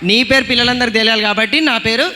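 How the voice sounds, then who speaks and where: A man's voice: very high voice, steady tone, fast talker, bright, forceful tone. A man hosting a children's puppet show, playfully questioning in simple conversational speech. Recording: echoey hall with background noise.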